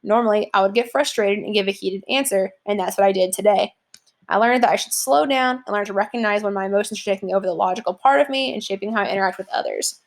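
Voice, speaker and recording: A woman's voice: mid-range voice, fast. Chatty woman teacher explaining an assignment. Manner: casual, chatty, many "like" and "um" fillers. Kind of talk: classroom lesson walkthrough.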